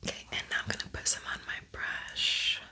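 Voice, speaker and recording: A woman hosting an ASMR makeup tutorial, whispering softly in a casual, chatty, unhurried way.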